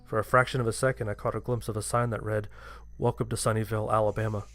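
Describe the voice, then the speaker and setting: A man's voice: lowish voice, little pitch movement, fast, fairly bright tone, soft background music. A man reading a scripted first-person horror story as its narrator.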